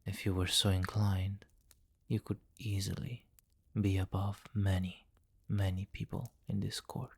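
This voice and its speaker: A man playing a possessive, commanding vampire king in an intimate ASMR fantasy roleplay, speaking formally and deliberately, with courtly, doting authority. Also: low voice, level tone, breathy edge, full character voice. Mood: imperious, persuasive.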